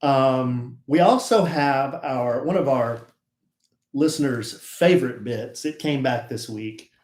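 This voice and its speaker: A man's voice: mid-range voice, slow, a little mellow, reverberant. A middle-aged man co-hosting a podcast, chatting easily with his wife and steering the talk back on topic. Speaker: podcast co-host. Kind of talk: casual chat with spouse.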